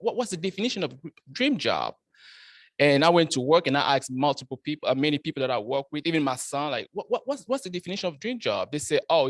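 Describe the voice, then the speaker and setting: A man from Cameroon giving his first prepared club speech, asking the audience questions and often restarting his words with lively emphasis. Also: medium pitch, no room sound, clean studio sound.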